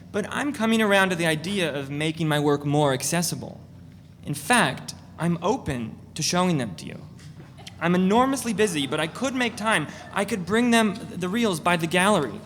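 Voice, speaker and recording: A man reading the part of a pushy, self-promoting artist who gives a pretentious sales pitch. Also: expressive, moderate pace, a bit bright. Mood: pushy, eager. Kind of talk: dramatic reading of a novel. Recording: echoey room with faint noise.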